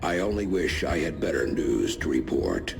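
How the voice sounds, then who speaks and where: An actor playing a shadowy, solemn resistance informant in a game cutscene, speaking formally and deliberately. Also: male voice, extremely low pitch, big pitch swings, gravelly, full character voice. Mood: solemn, foreboding. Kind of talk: video game cutscene acting. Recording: music behind the voice.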